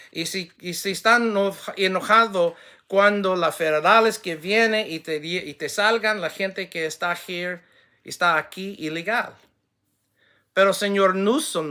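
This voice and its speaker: A man who speaks English natively, making a formal direct address to camera in halting, non-fluent Spanish full of restarts, switching to English, earnest and ending with blessings. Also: high voice, sharp tone.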